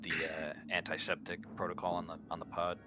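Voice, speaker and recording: A man's voice: low voice, monotone, fairly quick, over music. A man chatting casually as a player in a tabletop roleplaying game. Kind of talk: casual in-character game chat. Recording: clear background noise.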